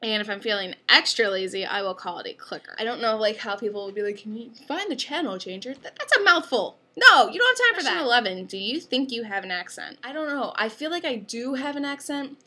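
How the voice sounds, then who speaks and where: A woman's voice: fairly high pitch, lively intonation, fast, hardly any pauses, bright, forceful tone, a little hiss or hum. A young woman with an upstate New York accent, chatting casually through an accent tag video, rambling with many fillers. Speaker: vlogger.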